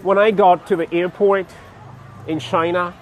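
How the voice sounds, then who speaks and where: A young man from Cameroon chatting casually to his vlog viewers outdoors on a city street, laughing often and pausing with fillers. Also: high voice, steady tone, some chatter behind, poor audio. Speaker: vlogger. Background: faint street traffic.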